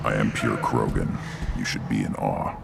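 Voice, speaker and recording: Growling, bottomless-deep young alien warrior. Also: male voice, moderate pace, exaggerated voice. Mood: proud, defiant. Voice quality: gravelly, growling. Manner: terse, haughty. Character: young hulking tank-bred alien warrior, proud and defiant. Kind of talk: video game voice acting. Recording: loud rumbling background noise.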